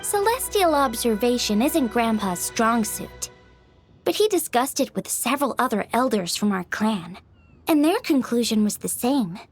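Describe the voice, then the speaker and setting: A woman playing an earnest young village woman in a video game, wide-eyed, expressive and a little flustered. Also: fairly high pitch, normal speed, husky, soft background music.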